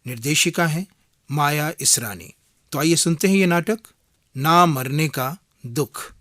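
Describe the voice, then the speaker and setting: A man giving a formal, measured scripted introduction to a radio play as its announcer. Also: on the high side, fairly bright tone.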